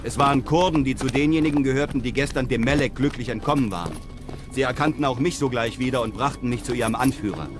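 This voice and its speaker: An actor playing a bold, self-assured adventurer hero who narrates his own exploits in a radio drama, measured and assured. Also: medium pitch, natural voice. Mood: narrating, composed. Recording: music behind the voice.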